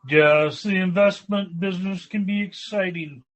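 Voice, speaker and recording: A man's voice: sits high, steady tone, a little slow, fairly bright tone. A man playing a polite, stuffy, well-to-do young businessman suitor in a 1940s-style radio comedy. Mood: genial, stuffy. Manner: genteel, hesitant.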